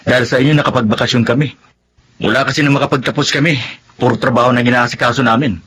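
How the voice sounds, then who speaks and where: A man's voice: medium pitch, fairly even intonation, fast talker, a bit bright, breathy edge. A man playing a caring young adult son in a Filipino radio drama. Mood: reassuring.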